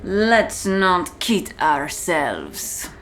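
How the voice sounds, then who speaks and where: A woman playing a contemptuous, commanding prison warden in a slow, deliberate, menacing ASMR-style roleplay monologue. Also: fairly low pitch, huge pitch swings, fairly bright tone, a little hiss or hum, exaggerated voice. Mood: cold scorn. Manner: slow, deliberate, menacing, often dropping to a whisper.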